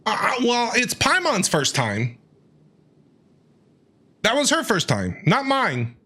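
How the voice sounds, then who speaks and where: A woman streaming a video game, giving chatty, playful, expressive reactions in a casual style. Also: a little high, slow pace.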